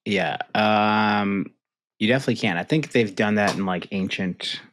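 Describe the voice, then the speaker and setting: A man in a casual podcast chat with an understated, halting way of talking. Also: lowish voice, fairly bright tone, slight background noise. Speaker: podcast participant.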